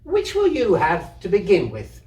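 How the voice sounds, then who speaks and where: Man as high, teasing small child. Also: huge pitch swings, unhurried, darkish tone, roomy sound, recorded from a distance, highs cut off, slight background noise, full character voice. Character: mischievous, teasing small children, excitable and mocking.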